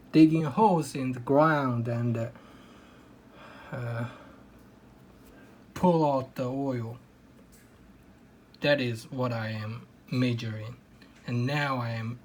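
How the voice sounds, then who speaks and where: Slow-talking man with non-native English. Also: medium pitch, darkish tone, slight background noise. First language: Kazakh. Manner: plain non-native English with frequent hesitations. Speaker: hobbyist electronics presenter. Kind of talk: hands-on electronics demo.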